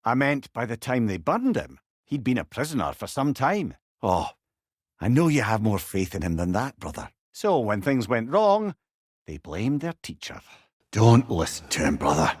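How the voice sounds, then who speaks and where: An actor with a Scottish accent playing a wise, witty talking severed head, a loyal older advisor with eloquent, old-fashioned phrasing in a video game. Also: male voice, mid-range voice, huge pitch swings, normal speed, slightly rough, no echo, clean studio sound. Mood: concerned, earnest. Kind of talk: video game character dialogue.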